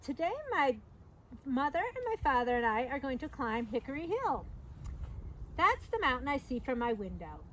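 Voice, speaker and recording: A middle-aged woman with a high voice reads a picture book to small children. She tells it simply and breaks off for short explanations. The recording is made outdoors, with clearly audible noise around her. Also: very animated, moderate pace, a bit bright, low-quality recording. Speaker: storytime reader, parks staff. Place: outdoors at an open trailhead by a fence.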